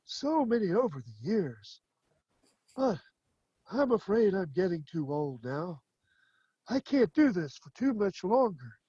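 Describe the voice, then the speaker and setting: A man playing an old, half-deaf grandfather shoemaker who talks in a slow, wandering way. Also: sits high, very animated, mellow, husky, no room sound, lo-fi sound, exaggerated voice. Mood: gentle. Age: older. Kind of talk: stage play acting.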